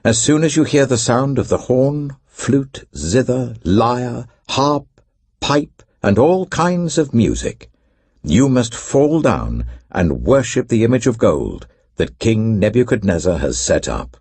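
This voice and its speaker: A man's voice: medium pitch, very animated, slow, darkish tone, breathy edge, no room sound, pitched above the performer's own voice, full character voice. A British man voicing a royal herald proclaiming commands in a formal, emphatic, declamatory way for an audiobook. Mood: commanding.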